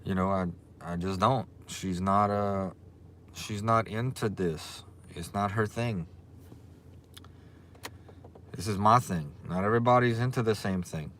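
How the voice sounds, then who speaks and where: A man's voice: lowish voice, normal speed, slight background noise. Cajun man chatting on a livestream. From Louisiana. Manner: relaxed, chatty, reads and greets viewers' comments. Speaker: livestream host. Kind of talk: casual livestream chat.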